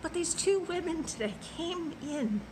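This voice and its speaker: A woman telling a personal story in an earnest, impassioned way, swinging from soft to loud. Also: extremely high pitch, expressive, unhurried, background noise. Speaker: passport office clerk. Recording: loud music under the voice.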